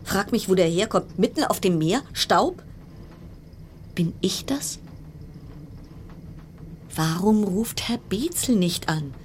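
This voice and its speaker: An actress playing an uneasy, sardonic cruise ship passenger, narrating a German radio play in a wry, insistent way. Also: female voice, medium pitch, lively intonation, slow, pauses often, darkish tone. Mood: anxious, musing. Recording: clear background noise and ambience.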